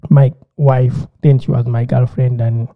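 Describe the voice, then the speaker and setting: A man, chatting casually as a podcast co-host and telling stories in an animated way, often repeating words. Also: mid-range voice, a little slow. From Uganda. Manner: animated storyteller, repeats words, acts out his own reactions. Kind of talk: casual chat. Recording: tinny phone-like line.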